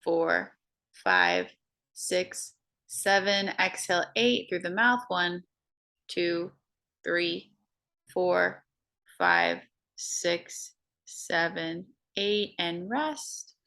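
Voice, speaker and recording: A woman guiding a breathing meditation, counting slowly in a soft, soothing way. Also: lowish voice, huge pitch swings, gravelly. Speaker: meditation guide.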